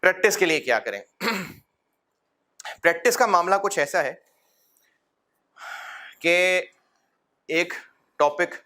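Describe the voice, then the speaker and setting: Middle-aged man, accounting lecturer: bright, forward voice, pitched a touch high, coaxing and fatherly with the class. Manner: fatherly and encouraging, addresses students as son; rhetorical questions, gentle humor.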